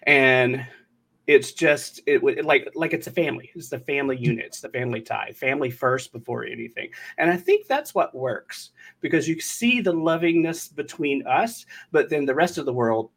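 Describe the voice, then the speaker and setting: A man talking casually as a writer guest on a podcast, halting and repeating words as he thinks aloud. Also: mid-range voice, animated, fairly bright tone, husky. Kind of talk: casual interview chat.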